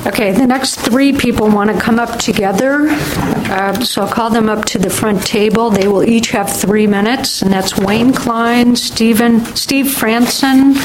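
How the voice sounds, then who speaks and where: A woman chairs a public hearing in a friendly, orderly way, with the odd filler. Noise can be heard clearly behind her voice, along with some clicks. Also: fairly high pitch, fairly even intonation, unhurried. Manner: friendly, procedural, a few fillers. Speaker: commission chair. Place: public meeting room.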